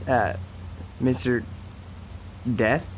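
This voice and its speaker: An actor playing a gentle, puzzled young man in a radio drama, speaking earnestly with a hesitant stammer. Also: medium pitch, steady tone, drawn-out words, slight background noise. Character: gentle, puzzled man coaxing a frightened woman.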